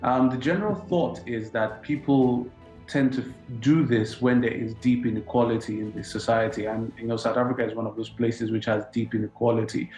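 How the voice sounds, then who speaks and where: A man speaking as a financial analyst, explaining economic effects in a measured way. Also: medium pitch, steady tone, a little mellow, reverberant, soft background music, low-quality recording. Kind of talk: studio interview answers.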